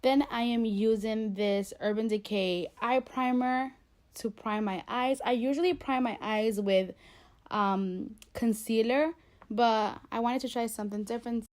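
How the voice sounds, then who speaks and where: A woman's voice: fairly high pitch, measured pace, a little mellow. Congested young woman chatting through a makeup tutorial. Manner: chatty, rambling with fillers. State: congested from a cold. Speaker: beauty vlogger. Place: bedroom at home.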